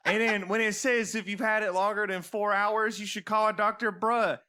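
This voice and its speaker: A man's voice: high-pitched, normal speed, heavy background noise. A man in his thirties, a podcast co-host, telling casual anecdotes in slangy, profane talk. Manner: slangy, animated storytelling with profanity.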